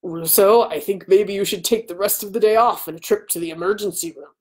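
A woman, giving an animated live reading of a story in a small room at home. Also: medium pitch, a little fast. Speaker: author and host. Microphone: webcam mic at arm's length.

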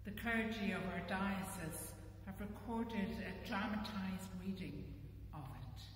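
Woman priest, solemn and unhurried, recorded at a distance in a reverberant church with some noise. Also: medium pitch. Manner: measured, solemn, phrase by phrase. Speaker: priest leading a church service. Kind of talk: formal liturgical prayer reading. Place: inside a stone church.

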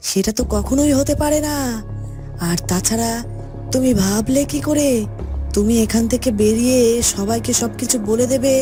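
A woman's voice: on the high side, normal speed, pauses often, natural voice. A woman voicing an evil shape-shifter who has taken a king's form, scheming and domineering, in a Bengali cartoon. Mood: threatening. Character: evil shape-shifter posing as a male usurper king. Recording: music under the voice.

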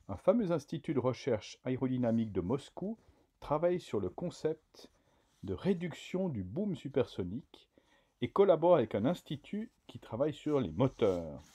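Man, news voice-over, animated intonation, mellow husky tone. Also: mid-range voice. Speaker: narrator.

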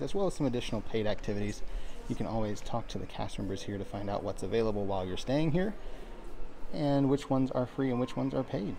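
A man narrating a walking tour of a resort in a steady, informative guide manner, filmed on a handheld camera as he walks. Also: mid-range voice. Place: outdoors and inside a resort, walking.

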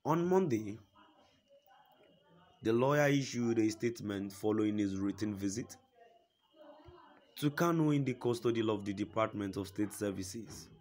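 A man reading a news report aloud in a steady, formal way, quoting a statement at length. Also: on the low side, normal speed, long pauses, a little hiss or hum. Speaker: news reader.

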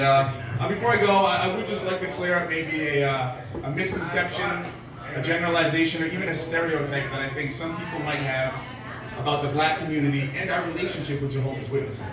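A man in his forties works through a stand-up set, delivering deadpan one-liners with lots of "uh" pauses, his voice a touch high. He is caught from the back of a small bar, so the sound is distant and very echoey. A rowdy crowd laughs and chatters around him. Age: 40s. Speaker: stand-up comedian. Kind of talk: stand-up set at an open mic. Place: small dim bar stage with a live audience. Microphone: handheld mic, filmed from far back in the room. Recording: echoey bar room heard from far off. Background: audience laughter and bar crowd.

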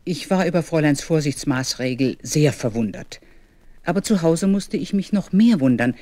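A woman's voice: sits low, lively intonation, moderate pace, mellow, no echo. An actress playing a grown woman who narrates her childhood memories in a children's radio play. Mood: reminiscing.

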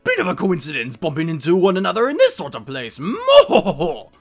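A man voicing a fretful, genteel elderly male Toad steward in a video game, with pompous, huffing gentlemanly phrasing. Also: high voice, wildly swooping pitch, fairly quick, exaggerated voice. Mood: jovial, curious. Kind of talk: video game dialogue voice acting. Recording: game music behind the voice.

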